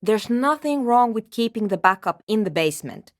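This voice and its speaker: A woman's voice: mid-range voice, animated, normal speed, dry recording, natural voice. An actress playing a curt, skeptical, world-weary woman programmer in a video game, speaking in a brusque, dry, dismissive way. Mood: terse, absorbed. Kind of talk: video game character dialogue.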